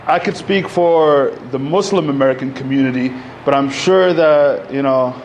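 A man's voice: medium pitch, normal speed, a little hiss or hum, low-quality recording. A man in his thirties from Egypt, giving a conversational talk at a community center podium, full of "you know" and "like". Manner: conversational, many fillers, gestures while speaking. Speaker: panel speaker. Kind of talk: public talk at a community event. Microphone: podium mic.

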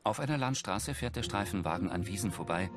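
A man's voice: lowish voice, lively intonation, brisk. A man reading scripted, matter-of-fact audio description of screen action, in precise present-tense sentences. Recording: film soundtrack and music underneath. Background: music.

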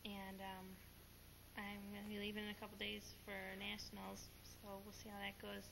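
A woman in her late teens speaking haltingly as she gives a farewell message to camera as a high school gymnast. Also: completely flat delivery, gravelly. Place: indoor portrait studio setup. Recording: noisy old VHS camcorder audio.

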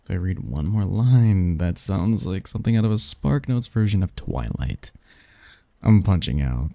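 A man's voice: deep voice, sing-song, vocal fry, no room sound, exaggerated voice. A man acting a snarky, cynical young research assistant in a scripted ASMR roleplay, with a wry, pedantic manner. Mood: sardonic. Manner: wry, pedantic, halting mumbles and soft chuckles.

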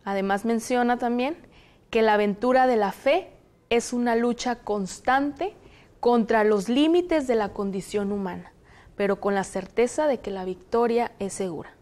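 A young woman from Zacatecas with a Mexican Spanish accent, hosting a studio discussion on a lapel mic in a TV studio, speaking in a measured, explanatory way. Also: fairly high pitch, darkish tone. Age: young adult. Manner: measured, explanatory, reads passages aloud. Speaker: host. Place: television studio, indoors.

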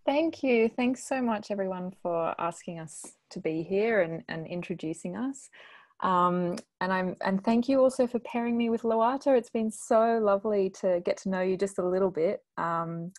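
A woman's voice: medium pitch, moderate pace, a little mellow, dry recording, studio quality. A woman in her thirties giving a casual introduction as a guest on an online panel. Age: 30s. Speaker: guest speaker, conversation partner. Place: living room at home. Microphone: wired earphone mic on video call.

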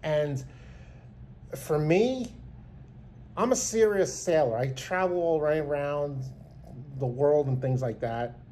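Man, 50s, sincere rambling vlogger, slightly high warm voice, close phone mic, faint hiss. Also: slow pace, long pauses. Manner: earnest, rambling, many restarts. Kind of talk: heartfelt tribute talk to camera. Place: small indoor room. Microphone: phone camera held close.

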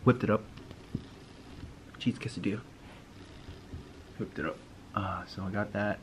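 A man's voice: fairly low pitch, steady tone, a little slow, background noise. A young man casually recapping his day for his vlog in a laid-back, rambling, slangy way, filmed on a close phone camera in a small closet. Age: young adult. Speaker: vlogger. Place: small walk-in closet indoors. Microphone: phone camera close to face.

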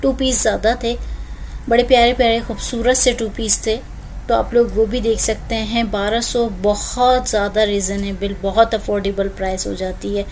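A woman's voice: mid-range voice, level tone, normal speed, a little mellow, slight background noise, rough recording. A woman, chatting through a clothing store walkthrough as a shopping vlogger, filmed on a phone. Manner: chatty, lists prices and discounts.

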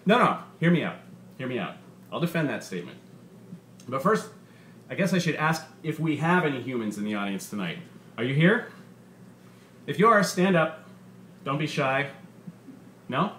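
A man's voice: a little high, big pitch swings, moderate pace, pauses often, a bit bright. A man voicing a bitter, sardonic robot stand-up comedian with a profane, punchy, menacing delivery. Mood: sardonic, taunting. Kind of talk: stand-up comedy routine in a short story reading. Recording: roomy bar with faint background noise.

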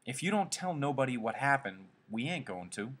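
A man's voice: medium pitch, sing-song, normal speed, a bit bright, slightly rough, pitched above the performer's own voice. Man reading as a firm, blunt ranch hand. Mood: quietly threatening. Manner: firm, blunt rural speech. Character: stern, authoritative ranch hand, irritated but fair.

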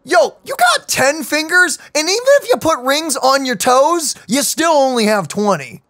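A woman giving casual, playful let's-play commentary in an animated manner. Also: high voice, normal speed, no room sound, clean studio sound. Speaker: gaming channel host. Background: faint game music.

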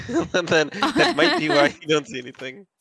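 A man's voice: high voice, wildly swooping pitch, measured pace, a crowd in the background. A man chatting and joking with friends while playing a survival game online, laughing often. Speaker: gamer. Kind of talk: casual multiplayer game chat.

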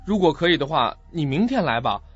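A husky, fairly high-voiced young man played by a male actor in a radio drama. He is earnest and hesitant, speaking in halting, reflective phrases. The recording is dull and lo-fi. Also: very animated, a bit bright, exaggerated voice. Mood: reassuring. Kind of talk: Mandarin radio drama acting.

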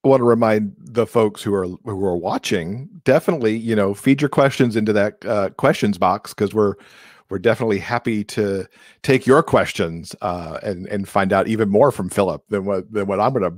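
A man's voice: fairly low pitch, normal speed, dry recording. A middle-aged man hosting a casual interview, asking questions into a close microphone. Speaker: podcast co-host, interviewer. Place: indoor room, on a video call. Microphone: close podcast microphone.

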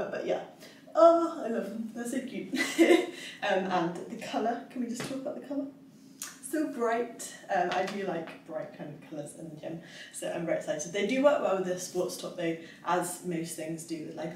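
A woman's voice: lowish voice, darkish tone, far from the mic, a little hiss or hum. A young woman in her twenties chatting casually in a try-on haul, bubbly and giggly with lots of fillers. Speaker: fitness vlogger. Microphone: camera across the room. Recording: echoey room, voice from afar.

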